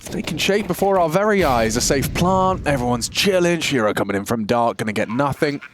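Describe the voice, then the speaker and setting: A man calling an esports match, and his voice leaps upward whenever the action turns. He sits at a middle pitch on a headset mic. Steady noise hums behind him in the booth. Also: brisk. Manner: excitable, climbs sharply in pitch on big plays. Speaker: esports commentator. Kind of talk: play-by-play esports commentary.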